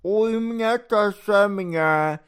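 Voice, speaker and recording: A man's voice: sits high, clean tone, voice pushed up, lightly acted. A man reading an audiobook voices a drunk, maudlin middle-aged army chaplain who rambles, whines and pleads. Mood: self-pitying. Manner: slurred, whining, rambling drunk speech. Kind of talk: audiobook character voice.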